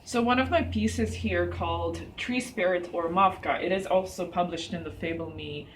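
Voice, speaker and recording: A woman's voice: on the low side, moderate pace, a little hiss or hum, poor audio. Hesitant woman artist, lots of ums. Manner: hesitant, many ums and uhs. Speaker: exhibiting artist. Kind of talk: gallery interview about her artwork. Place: art gallery, indoors.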